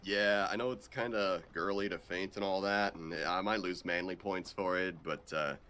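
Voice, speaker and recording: A man voicing an awkward, deadpan macho young action hero with a halting, casual delivery in a visual novel dub. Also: sits low, slight background noise. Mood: uneasy, evasive. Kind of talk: improvised visual novel dubbing.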